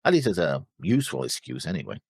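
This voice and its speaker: A low-pitched man with plenty of vocal fry talks casually as a co-host. His delivery swings up and down, halting and rephrasing as he makes his case. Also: big pitch swings, darkish tone, no room sound, studio quality. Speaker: co-host of an anime reaction show. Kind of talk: casual discussion.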